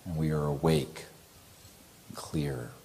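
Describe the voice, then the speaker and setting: A man leading a guided meditation as a teacher, with gentle, calming, deliberate phrasing. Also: very deep voice, a little hiss or hum.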